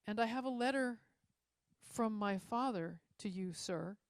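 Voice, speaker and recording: A woman's voice: medium pitch, a little slow, clean tone, no echo, studio quality, lightly acted. A woman voicing a shy, earnest young working woman in a storybook reading.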